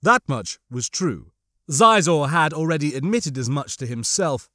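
A man narrating an audiobook of fiction in a deliberate, dramatic storytelling manner. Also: on the high side, very animated, pushed and bright, no room sound, clean studio sound.

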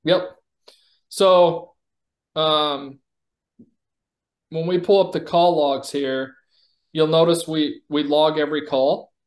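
A man's voice: a little high, steady tone, takes it slowly, pauses often. A man in an executive role giving an informal, explanatory product demo over a video call. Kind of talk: casual software demo and explanation.

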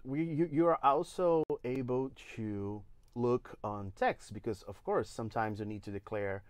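A man in his forties from Brazil, speaking English with a Brazilian Portuguese accent, walking through a software demo step by step with many "uh"s and restarts. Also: mid-range voice, animated, a little slow, dry recording. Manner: explains step by step with frequent "uh" and restarts. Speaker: engine evangelist giving a demo. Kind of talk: live software tutorial. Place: indoor studio.

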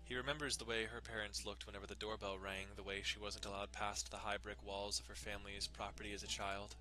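A man's voice: lowish voice, little pitch movement, quick pace, sharp tone, poor audio. A man giving a dramatic reading of a visual novel as its narrator. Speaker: dramatic reader.

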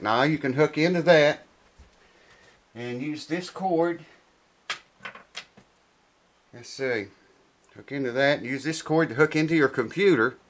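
A middle-aged man giving a casual hands-on review to camera, informal and unhurried, thinking aloud as he goes. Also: mid-range voice. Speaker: product reviewer. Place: small cluttered indoor workshop room.

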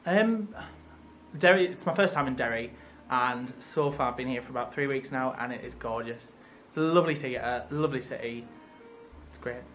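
A man's voice: medium pitch, moderate pace, a bit bright. A man from the pantomime cast, speaking in an upbeat, effusive way.

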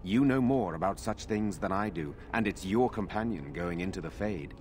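A man's voice: deep voice, animated, normal speed, noisy background. A man playing a concerned, loyal nobleman in a fantasy video game, with a grave, earnest way of talking.

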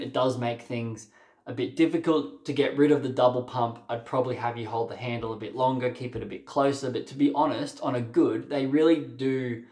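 A man's voice: medium pitch, darkish tone. A young man giving waterski coaching over video, direct and encouraging, often saying "mate" and "sort of". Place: small room with a plain wall. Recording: roomy echo.

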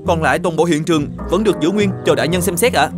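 A man voicing a deferential officer in an animated folk tale, briskly reporting to his superior. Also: on the high side, music in the background, lightly acted. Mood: dutiful, earnest. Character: dutiful, deferential male officer serving the governor.

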